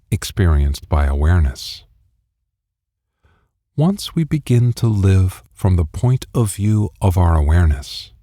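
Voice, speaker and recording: A man reading a scripted spiritual text as an audiobook narrator, with deliberate, measured phrasing. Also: lowish voice, mellow, no room sound, clean studio sound. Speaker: audiobook reader.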